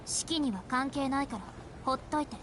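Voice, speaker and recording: A woman's voice: sits high, rapid-fire, noisy background, close to an everyday voice. A woman playing a cold, haughty young woman in a Japanese visual novel, speaking in a curt, commanding way. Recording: music behind the voice.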